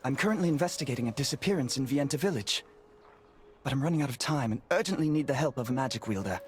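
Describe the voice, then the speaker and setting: An actor playing an earnest, courteous resistance investigator in a video game, polite and a little hesitant when worried. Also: male voice, mid-range voice, a little hiss or hum, close to an everyday voice. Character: earnest, courteous male resistance investigator, anxious about a missing child. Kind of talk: video game character dialogue.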